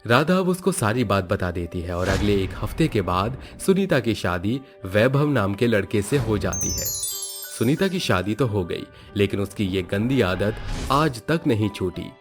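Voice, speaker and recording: A low-voiced man delivers scripted storytelling narration. Soft music plays behind his voice throughout. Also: big pitch swings, a little slow. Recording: background music under the voice.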